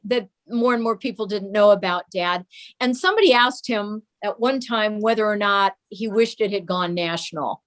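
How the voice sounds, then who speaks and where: A mature woman speaking as an interview guest, telling fond family recollections in a casual storytelling style. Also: a little high.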